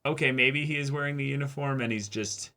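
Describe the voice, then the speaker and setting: A man's voice: medium pitch, fairly even intonation, a little fast, bright, forceful tone. A man co-hosting an anime reaction show, talking casually through an episode. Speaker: co-host of an anime reaction channel.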